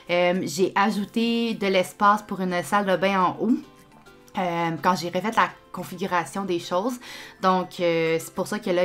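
A woman's voice: on the low side, fairly bright tone. Young quebec woman yapping through a build video, lots of ums, faint music behind. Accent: Québécois French. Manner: chatty, many fillers and restarts. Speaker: YouTuber. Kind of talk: casual voice-over commentary on a building game.

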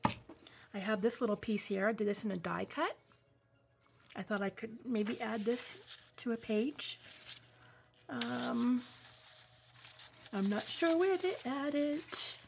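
Slow-talking woman with a gravelly edge. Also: a little high, slight background noise. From New England. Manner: rambling, warm asides with many ums. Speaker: craft vlogger. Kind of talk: casual craft-along chat. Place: home craft table. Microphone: overhead camera, hands only.

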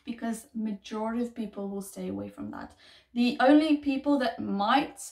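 A woman's voice: fairly high pitch, moderate pace, gravelly, darkish tone. A woman in her thirties, not a native English speaker, giving an emphatic, repetitive motivational talk to camera in a bedroom. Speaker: self-help vlogger. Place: small bedroom, indoors. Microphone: camera a few feet away. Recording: echoey room, distant microphone.

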